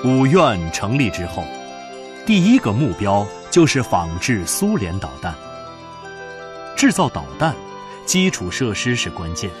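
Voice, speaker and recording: A man delivering scripted radio drama narration in a solemn, declamatory manner. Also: medium pitch. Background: prominent music.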